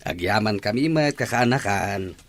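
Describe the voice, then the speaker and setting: Lalaki nga agak-akto iti nababa ti timekna nga uliteg. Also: male voice, lowish voice, expressive, moderate pace, noisy background, natural voice. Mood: friendly farewell. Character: older uncle, a worried father of a wayward daughter. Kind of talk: Ilocano radio drama acting.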